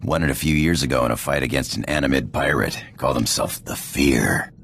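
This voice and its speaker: A man playing a cocky, roguish space pirate in a video game, with a gravelly drawl, casual slang and a flirtatious swagger. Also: a little fast, fairly bright tone, a little hiss or hum, exaggerated voice. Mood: smooth, flirtatious. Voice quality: gravelly, very deep voice. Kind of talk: video game character acting.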